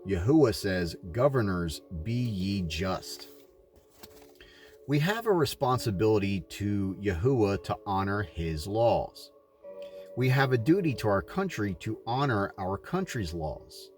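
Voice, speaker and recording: A man from the United States reading a religious audiobook in a somewhat halting manner. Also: on the low side, very animated, soft background music. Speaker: audiobook reader. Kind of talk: read religious narration.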